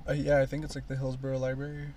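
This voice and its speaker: A young man with a mid-range voice tosses off short, easygoing remarks from a parked car. He keeps a joking, relaxed manner, and a faint noise floor runs behind him. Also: mid-range voice, very fast. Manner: laid-back, short joking replies. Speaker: podcast co-host. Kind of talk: casual chat.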